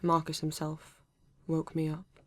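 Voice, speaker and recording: A woman with a British accent playing a formal, reserved male android detective in an ASMR roleplay, speaking stiffly and deliberately with halting pauses. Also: deep voice, completely flat delivery, a bit bright, no echo. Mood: guarded, halting. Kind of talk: ASMR roleplay addressed to the listener.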